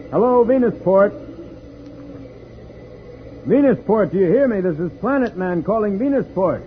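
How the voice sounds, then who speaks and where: A man playing a calm, reassuring spaceman hero in an old-time radio serial, speaking with clear projection. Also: sits high. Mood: urgent calling. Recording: noisy, narrow-band vintage radio recording.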